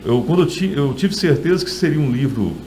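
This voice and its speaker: A man's voice: a little high, lively intonation, a little fast, warm tone, slight background noise. A middle-aged man with a Brazilian accent, an author telling stories in a conversational interview.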